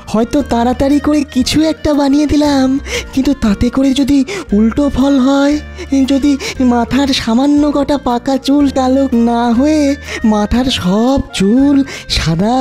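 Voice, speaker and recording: A woman voices a cautious court scientist in a cartoon. The voice is high yet soft-edged and mellow, deferential and hesitant, over light music. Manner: hesitant, careful. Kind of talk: Bengali comedy cartoon voice acting.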